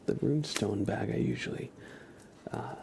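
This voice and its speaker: A man doing ASMR on a live stream in a breathy, hushed whisper, speaking gently and softly. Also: mid-range voice, normal speed, noisy background. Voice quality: breathy whisper with soft-spoken stretches. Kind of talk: ASMR, whispered relaxation and guided imagery.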